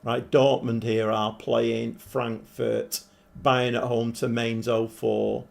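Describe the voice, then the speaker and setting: Croaky man streaming a game. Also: medium pitch, level tone, slow. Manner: chatty, thinking aloud, many restarts. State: hoarse, croaky voice. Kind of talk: casual live-stream commentary. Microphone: desk microphone.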